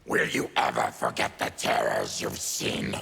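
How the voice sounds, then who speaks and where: An actor playing an afflicted, embittered mutant warrior in a video game, with a gravelly growl and grim, deliberate, menacing delivery. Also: male voice, bright, forceful tone, soft background music. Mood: bitter. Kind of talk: video game character dialogue. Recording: clear background noise with clicks.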